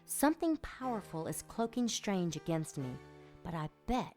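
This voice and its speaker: A woman acting a scheming supernatural villain in an audio drama, smooth and calculating. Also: medium pitch, very animated, normal speed, mellow, faint music behind, natural voice.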